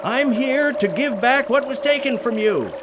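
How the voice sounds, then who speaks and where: A man's voice: very high voice, expressive, unhurried. A man acting an earnest, determined character haunted by a ghost in an amateur radio play. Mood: frightened. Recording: clearly noisy, trickling water behind.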